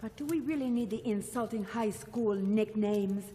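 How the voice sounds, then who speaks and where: An actress playing a sardonic, reluctant young heroine with weather powers. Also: female voice, medium pitch, moderate pace, dark tone, a little hiss or hum, close to an everyday voice. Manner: sarcastic, reluctant. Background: music score.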